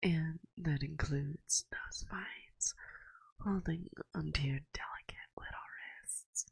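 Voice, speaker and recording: Breathy, slow-talking forest witch, acted by a woman. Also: deep voice, steady tone, no echo, exaggerated voice. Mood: smug, teasing. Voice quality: soft, very breathy, often slipping into whisper. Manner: slow, teasing, coaxing, with musing pauses. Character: playful, dominant forest witch, teasing and doting. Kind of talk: ASMR roleplay, soft speech with whispering. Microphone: close binaural microphone.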